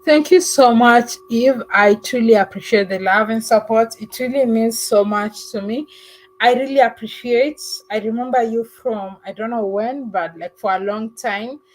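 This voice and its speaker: A woman's voice: on the high side, normal speed, low-quality recording. A woman hosting a live stream, chatting casually with callers and thanking them effusively. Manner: warm, effusive thanks, mimics other accents playfully. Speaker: livestream host.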